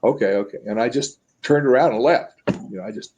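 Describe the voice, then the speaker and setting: An older man in his seventies tells stories over a video call from home. His voice sits at a middle pitch, and he rambles, stopping and restarting his sentences often. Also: darkish tone, dull audio. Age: 70s. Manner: rambling, halting with many restarts. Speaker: guest. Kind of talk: casual storytelling. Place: home room.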